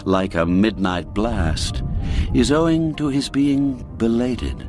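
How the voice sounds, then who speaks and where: A man narrating a classic radio story in a measured, deliberate storytelling manner. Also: mid-range voice. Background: faint background music.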